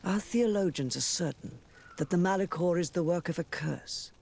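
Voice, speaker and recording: An actor playing a dignified, pious high cleric in a video game, speaking formally and solemnly. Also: normal speed, creaky, a little mellow, slightly rough. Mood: grave, fervent.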